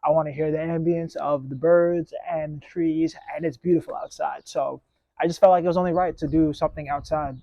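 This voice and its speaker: A man's voice: fairly high pitch, steady tone, brisk, gravelly, dark tone, no echo. Laid-back young man musing on a podcast. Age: 20s. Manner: reflective, rambling, laid-back. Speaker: podcast host. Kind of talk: casual solo podcast chat. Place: outdoors. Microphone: clip-on mic.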